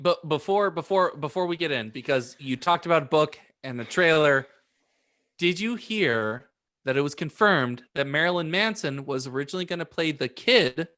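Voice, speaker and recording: A man with a fairly high-pitched voice co-hosts a podcast. He talks informally, in the casual back-and-forth of friends bantering. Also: a bit bright. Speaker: podcast co-host.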